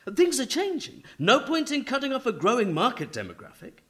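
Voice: medium pitch, huge pitch swings, fairly quick, a bit bright. An actor playing an anxious, chummy publishing agent in a radio play, emphatic and placating. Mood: alarmed, placating.

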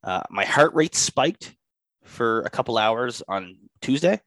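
A man's voice: mid-range voice, animated, slow, a bit bright, dry recording. A man co-hosting a podcast, talking in a casual chat, exasperated and ranting, with a stuffy head cold. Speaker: podcast co-host.

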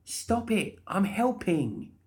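A man's voice: fairly high pitch, sing-song, normal speed, pitched above the performer's own voice. A man voicing a perky, chatty undead woman in an audiobook, bubbly and pushy with coaxing false reassurance. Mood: cheerful, pushy. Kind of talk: audiobook character voice.

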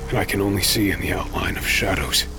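A man's voice: low voice, fast, background noise, close to an everyday voice. A man playing an embittered, defiant, desperate pirate who narrates his own story in a theatrical audio drama. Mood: pained, grim. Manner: intense, theatrical, swinging between hushed narration and shouts. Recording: loud music over the voice.

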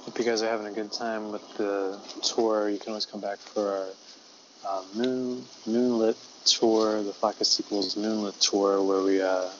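A man playing a deadpan male ghost-tour guide who talks low and quietly, rambling with lots of fillers. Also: moderate pace, muffled recording, a little hiss or hum, natural voice.

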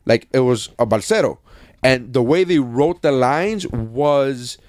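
A man's voice: mid-range voice, expressive, a little slow, a little mellow, no echo. Casual man bantering in a dry room. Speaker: podcast host. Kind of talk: casual banter, profane storytelling.

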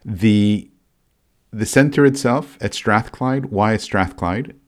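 A man interviewing a guest, asking questions in a halting way that stumbles over its phrasing. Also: lowish voice.

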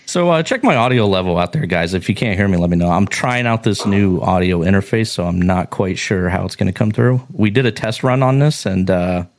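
Low-voiced man hosting a jokey podcast. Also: animated, brisk, hardly any pauses. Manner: joking, playful. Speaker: podcast host. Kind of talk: casual chat.

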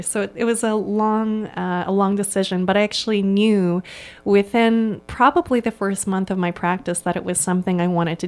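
Earnest, clear-voiced woman guest. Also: medium pitch. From Hong Kong. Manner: enthusiastic, earnest, with frequent ums and restarts. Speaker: yoga studio owner, guest. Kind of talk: conversational interview answers.

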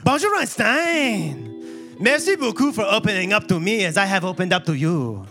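A man's voice: high-pitched, sing-song, normal speed, bright, forceful tone, faint music behind, voice pushed up, full character voice. A man voicing an amorous, arrogant French cat in a French accent, reading love letters with florid melodrama. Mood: amorous, grandiose. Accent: put-on French.

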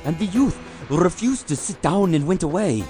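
A man's voice: high voice, expressive, normal speed, mellow, soft background music. An actor playing a tailor who tells his tale theatrically in archaic words for an audio drama. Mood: amazed. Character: male tailor, amazed storyteller recounting events.

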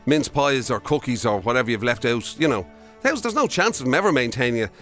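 Animated Irish man, bright higher voice. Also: quick pace, faint music behind. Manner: jokey, prone to chuckling. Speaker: radio co-host. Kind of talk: casual comic banter.

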